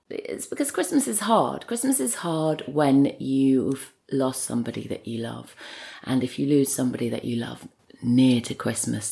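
A woman with an extremely low, creaky voice talks casually as a guest playwright. She is thoughtful and halting, with a playful streak. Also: a little mellow. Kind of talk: casual chat.

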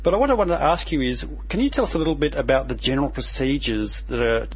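A husky-voiced man hosting a community radio show, with a middling pitch. He talks in a chatty, casual way, easing into stories before putting questions to his guests. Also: animated, quick pace.

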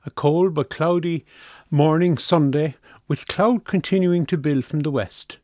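A man's voice: a little high, measured pace, darkish tone, dry recording. Irish man reading weather, dry close sound. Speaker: weather forecast reader. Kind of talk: scripted radio weather forecast.

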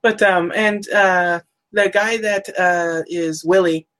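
A woman co-hosting a casual chat about old cartoons, chatty and quick to laugh, looking things up as she talks. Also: on the low side, fairly even intonation, fairly bright tone. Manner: chatty, laughs often, looks things up aloud. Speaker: podcast co-host.

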